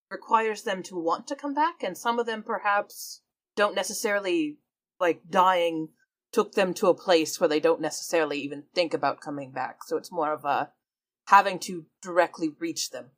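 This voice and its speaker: A woman's voice: on the low side, normal speed. A woman playing a tabletop role-playing game, casually narrating her character's actions and explaining things. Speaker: player.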